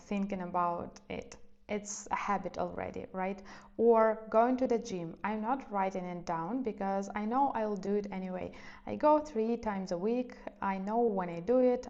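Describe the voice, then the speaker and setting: A young woman who is not a native English speaker, giving gentle, reflective advice in a casual vlog and often hedging with little fillers. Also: medium pitch, dull audio. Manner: soft, reflective, hedging with "let's say" and "I don't know". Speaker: lifestyle vlogger. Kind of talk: casual advice talk to camera.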